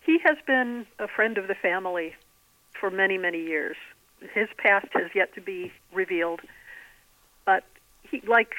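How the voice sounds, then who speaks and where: Woman author chatting in an interview, crisp bright tone, explains stuff carefully, really dry sound. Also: mid-range voice, normal speed, pauses often, dry recording, poor audio. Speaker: guest author being interviewed. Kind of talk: interview conversation.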